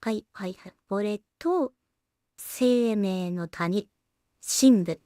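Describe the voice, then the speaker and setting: A woman's voice: medium pitch, extremely slow, dark tone, no echo, studio quality. A woman creator casually thinking aloud as she works, muttering hesitantly to herself with polite asides to viewers. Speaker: solo animation creator and streamer.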